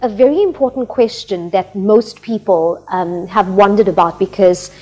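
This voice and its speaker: A woman hosting an interview, putting prepared questions in a formal, deliberate way. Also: medium pitch, darkish tone, poor audio. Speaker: talk show interviewer, host.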